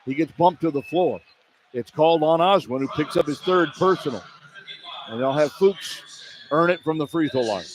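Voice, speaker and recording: A man's voice: on the high side, normal speed, a crowd in the background, poor audio. A man calling live basketball play-by-play for radio, in clipped running descriptions that turn emphatic on big plays. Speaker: play-by-play announcer. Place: college basketball arena. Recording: arena noise behind live broadcast. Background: arena ambience.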